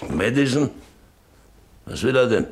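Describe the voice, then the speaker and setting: An actor playing a hard, scheming landowner in a German radio play, brusque, sardonic and persuasive. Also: male voice, mid-range voice, sing-song, unhurried, noisy background. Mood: brusque, impatient. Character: hard, scheming landowner and father, scornful businessman.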